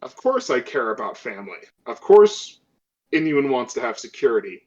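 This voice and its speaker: A man's voice: mid-range voice, sing-song, moderate pace, lightly acted. A man playing a skeptical, self-interested crew member in a tabletop role-playing game, arguing emphatically on a dull microphone. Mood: argumentative.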